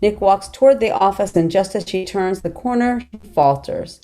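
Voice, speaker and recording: Mellow-voiced woman reading scene directions. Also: medium pitch, moderate pace. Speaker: narrator. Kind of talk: reading stage directions at a table read.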